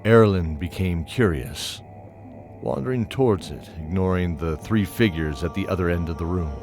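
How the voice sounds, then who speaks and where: Deep-voiced male fantasy narrator. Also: normal speed. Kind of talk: scripted fantasy audio drama narration. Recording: music playing behind.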